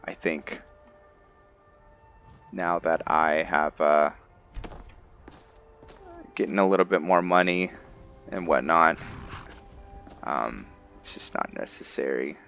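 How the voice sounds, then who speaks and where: A man's voice: a bass voice, slow, vocal fry, pauses often, fairly bright tone, muffled recording. A man giving casual gameplay commentary, thinking aloud hesitantly and chatting to his viewers. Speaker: host of a gameplay roleplay series. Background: background music.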